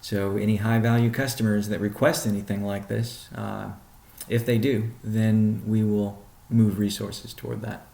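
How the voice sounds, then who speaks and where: A man speaking as a company chief executive on a conference call, answering questions in a measured, deliberate, halting way. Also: lowish voice, flat delivery, vocal fry, dark tone, echoey room.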